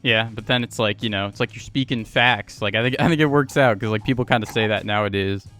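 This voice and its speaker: A man's voice: on the low side, fast talker, music in the background. A man hosting a podcast interview over a video call, easygoing and filling gaps with "yeah" and "like". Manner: easygoing, lots of fillers like "yeah" and "like". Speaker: podcast host. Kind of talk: casual interview.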